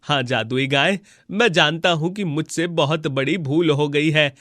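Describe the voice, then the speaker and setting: A man acts out a frightened landlord from a fairy tale, begging for mercy. His voice is somewhat high for a man, drawn-out and pleading, recorded dry. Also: a bit bright, dry recording. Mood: pleading, fearful.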